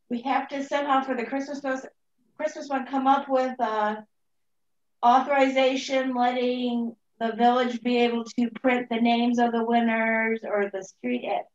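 A woman with a somewhat high voice reports to fellow board members in an informal way. The room echoes noticeably and the call audio is a bit dull.

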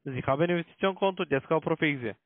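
A man's voice: a little high, fast talker, no echo. A man with a foreign accent in German making a blunt, pushy telephone sales call. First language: not German. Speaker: call center sales agent. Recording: thin, dry phone line.

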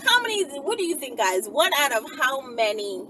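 A woman's voice: high voice, very animated, normal speed. Woman vlogging, slightly distant amid faint noise. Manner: musing aloud, rambling, rhetorical questions. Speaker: vlogger, channel host. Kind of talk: casual unscripted vlog chat to viewers. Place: inside a car by a street, daytime. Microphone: camera at arm's length. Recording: distant mic, noisy car interior.

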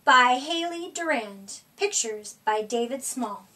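High, animated woman reading a picture book aloud. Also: takes it slowly, distant mic. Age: middle-aged. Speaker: storyteller. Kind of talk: expressive read-aloud of a children's picture book. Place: small indoor room with decorated wall.

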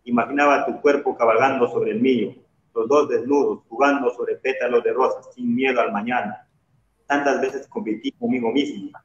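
A man's voice: mid-range voice, fairly even intonation, moderate pace. Young guy reciting a poem, echoey room, muffled call audio. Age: young adult. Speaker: guest poet. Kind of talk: poetry reading. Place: bare-walled room, on a video call.

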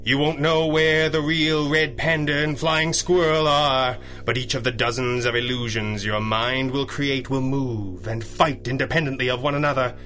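Bright-voiced, commanding masked hero played by a man. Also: medium pitch, steady tone, moderate pace, few pauses, lightly acted. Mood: menacing calm. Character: commanding masked male pulp superhero, wry and resolute. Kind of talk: 1930s-style radio drama acting.

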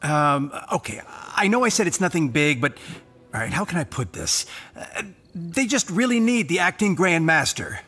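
Nervous game knight: highish, sharp voice, eager to please, stumbling over his words. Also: big pitch swings, moderate pace, breathy edge, heavily acted. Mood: awkward, hesitant. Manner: hesitant, stammering. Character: nervous, deferential young male town guard knight. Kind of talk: anime game voice acting.

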